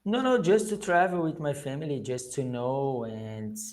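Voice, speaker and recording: A man's voice: medium pitch, normal speed, darkish tone. A man from Brazil with a Brazilian Portuguese accent, a native Portuguese speaker chatting hesitantly in an English class over a video call. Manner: hesitant, restarts often, learner's English. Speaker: English student. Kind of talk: casual conversation class.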